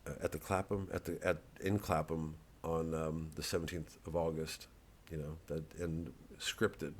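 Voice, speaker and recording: A man's voice: very deep voice, flat delivery, normal speed. A man giving an off-the-cuff comic monologue as a comedian plugging a show, rambling, interrupting himself, sometimes profane and sardonic. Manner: rambling, self-interrupting, acting out bits. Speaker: comedian promoting a live show.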